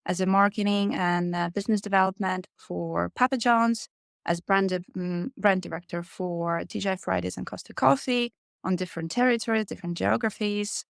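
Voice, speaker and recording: A woman's voice: mid-range voice, creaky, clear, resonant tone, no echo, studio quality. A middle-aged woman from Russia with a Russian accent, answering conversationally as an expert podcast guest. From Moscow, Russia. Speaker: podcast guest, hospitality brand consultant.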